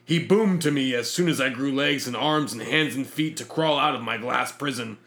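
A man's voice: mid-range voice, moderate pace, gravelly, a bit bright. A man narrating a comic sci-fi story in a pompous, self-important, theatrical manner. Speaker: narrator reading a fictional diary.

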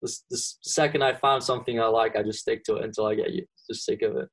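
A man's voice: fairly low pitch, fast, low-quality recording. Young man, casual interview. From Belgium. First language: Dutch (Flemish). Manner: relaxed, many ums and restarts. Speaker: golfer being interviewed.

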